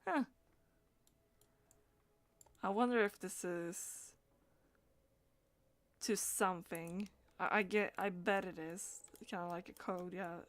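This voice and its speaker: A woman's voice: fairly low pitch, fairly even intonation, slow pace, pauses often, fairly bright tone. A woman streaming a game, murmuring her thoughts in loose asides as she plays. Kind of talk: live gameplay, reading dialogue aloud.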